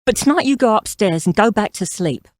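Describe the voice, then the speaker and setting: A woman's voice: medium pitch, lively intonation, brisk, no room sound, clean studio sound, natural voice. A woman playing a flirtatious, scheming mother-in-law in her thirties in an audio drama, coy and self-assured. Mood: persuasive, assured. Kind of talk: audio drama character acting.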